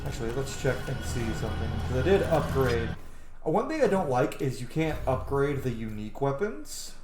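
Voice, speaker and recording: A male gamer talks casually and opinionatedly through his playthrough, drifting into animated tangents and swearing freely. His mid-pitched voice sits in a roomy space with game music playing behind it. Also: fairly quick. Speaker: streamer playing a video game. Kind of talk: casual, rambling gameplay commentary.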